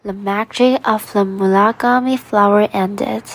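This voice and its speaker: A woman's voice: medium pitch, steady tone, a little slow. A woman reading scripted story narration as the narrator of a radio drama.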